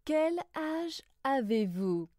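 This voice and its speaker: A woman reading scripted lesson phrases slowly, in a deliberate, carefully enunciated way. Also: sits high, big pitch swings, darkish tone, no room sound, clean studio sound. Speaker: language lesson reader.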